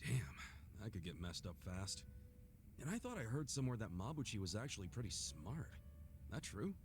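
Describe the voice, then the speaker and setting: An actor playing a brash but good-hearted street-tough gangster in a video game, with blunt, slangy speech. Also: male voice, fairly low pitch, very animated, moderate pace, a little hiss or hum. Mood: curious. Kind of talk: video game cutscene dialogue.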